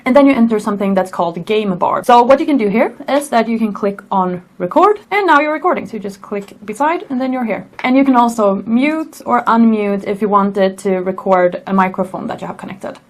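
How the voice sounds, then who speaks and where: A woman's voice: fairly high pitch, expressive, fairly quick, hardly any pauses. A woman with a Swedish accent narrating a scripted computer tutorial step by step. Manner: clear, step-by-step instructional delivery. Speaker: tutorial presenter.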